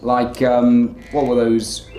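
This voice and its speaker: A man giving rambling, filler-heavy let's play commentary in a flat, monotone way. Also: mid-range voice, slow, roomy sound, faint music behind. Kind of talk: casual gameplay commentary.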